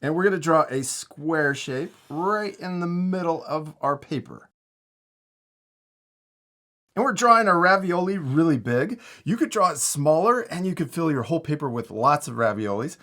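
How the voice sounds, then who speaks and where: A man's voice: on the high side, animated, moderate pace, sharp tone. A middle-aged man, guiding children step by step through a drawing lesson with simple, encouraging instructions. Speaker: host of a children's drawing tutorial.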